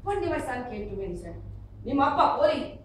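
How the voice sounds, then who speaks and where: A middle-aged woman with an Indian accent giving an instructional speech to an audience, expressive and emphatic, with dramatic pauses. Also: medium pitch. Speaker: public speaking trainer at a speakers club. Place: echoey meeting room with an audience. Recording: echoey hall from far off, noisy.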